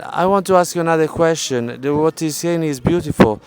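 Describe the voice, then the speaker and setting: A man's voice: fairly high pitch, a little fast. A man interviewing outdoors at a shrine, asking curious questions and searching for words. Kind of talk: casual interview.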